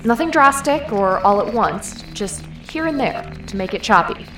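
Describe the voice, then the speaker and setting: A woman's voice: mid-range voice, lively intonation, normal speed, close to an everyday voice. A woman playing a take-charge, foul-mouthed heist team leader in an audio drama, giving directions. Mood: calm, coaching. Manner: directing, coaching, sweary. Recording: roomy echo, faint music underneath.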